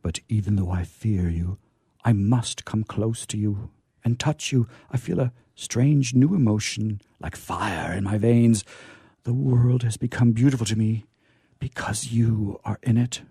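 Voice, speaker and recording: An actor playing a naive, courtly angel in a comic radio play, with formal, florid, hesitant phrasing. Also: male voice, lowish voice, a little slow, warm tone, slightly rough, no echo, clean studio sound, full character voice. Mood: smitten, earnest. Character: naive, courtly, curious male angel discovering earthly pleasures.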